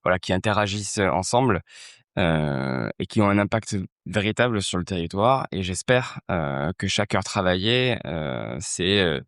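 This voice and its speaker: Man from Marseille, 30s, low smooth voice, hesitant podcast guest, dry close recording. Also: animated, normal speed, a bit bright, no echo. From Marseille, France. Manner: hesitant, many fillers and restarts. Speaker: festival co-founder, guest. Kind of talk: casual interview talk.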